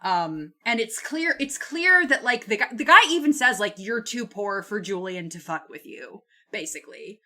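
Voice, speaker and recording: A woman's voice: mid-range voice, animated, normal speed, few pauses, bright, forceful tone. A woman co-hosting a casual book discussion, irreverent and sweary, with "like" peppered through her sentences. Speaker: book podcast co-host.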